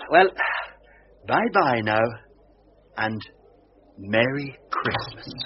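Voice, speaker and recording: A man's voice: mid-range voice, dull audio, noisy background. An actor playing a shy man dressed as Father Christmas in a radio drama, who stammers and chuckles politely. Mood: cheerful.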